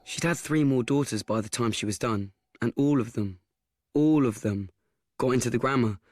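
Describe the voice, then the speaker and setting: An actor playing a young nephew who frankly recalls his troubled family in a halting, self-mocking, confiding radio play monologue. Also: medium pitch, darkish tone, natural voice. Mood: matter-of-fact. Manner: halting, repeats himself, conversational asides.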